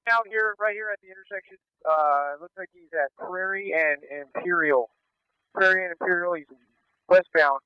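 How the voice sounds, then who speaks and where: Male police officer, clear smooth tone through dry, dull radio, halting live pursuit commentary. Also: high-pitched, no room sound. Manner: terse, halting callouts. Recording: muffled police scanner radio.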